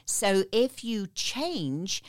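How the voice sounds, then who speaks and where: A woman's voice: mid-range voice, sing-song, very slow, smooth, no echo, clean studio sound. A woman guest on a podcast, earnestly explaining energy techniques and repeating her key words. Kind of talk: podcast interview.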